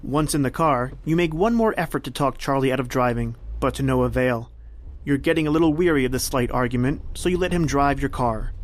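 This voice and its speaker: A man's voice: mid-range voice, brisk, natural voice. A man playing a knowing, taunting narrator in a scripted suspense radio drama. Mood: ominous, insinuating. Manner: insinuating, knowing. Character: ominous, taunting male narrator addressing the hero.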